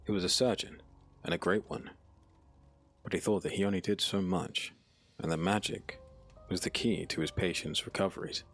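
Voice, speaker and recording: A man narrating a dark fantasy audiobook in a solemn, measured, dramatic manner. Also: low-pitched, fairly even intonation, faint music behind.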